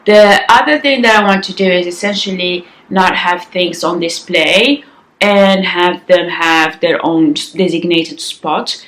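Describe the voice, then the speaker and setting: A woman in her thirties, slightly raspy, chatting casually to camera at home with many ums and restarts. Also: on the low side, a little slow, fairly bright tone, roomy sound, poor audio. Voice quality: raspy in the morning. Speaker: vlogger. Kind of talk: casual vlog chat to camera. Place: sunlit living room at home, indoors. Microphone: compact camera on-board mic.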